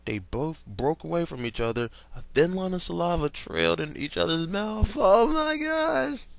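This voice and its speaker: A man's voice: fairly high pitch, big pitch swings, moderate pace, vocal fry, dry recording. A young man reading fan fiction aloud in a casual way, stumbling over words and breaking off to comment and laugh.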